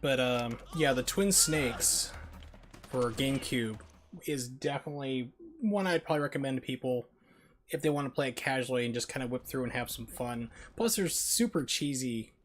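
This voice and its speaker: A man's voice: mid-range voice, normal speed, a little hiss or hum. A man giving casual, rambling, opinionated live commentary as he streams a video game and chats with viewers. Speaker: game livestreamer.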